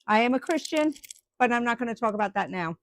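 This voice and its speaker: A woman's voice: fairly high pitch, animated, quick pace. A woman chatting live with viewers about her woodturning, rambling with lots of ums and restarts. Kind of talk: casual live-stream chat.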